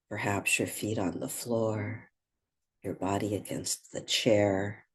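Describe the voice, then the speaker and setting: A meditation guide speaking gently and without hurry, leaving pauses between short phrases. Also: sits low, slightly rough.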